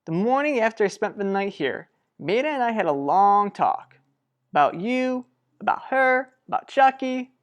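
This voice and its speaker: An actress playing an earnest, protective sister in a radio soap drama, speaking deliberately and persuasively. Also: female voice, medium pitch, very animated, highs cut off, lightly acted. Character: earnest, protective sister pleading for a troubled couple. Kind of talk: revived vintage radio soap drama acting.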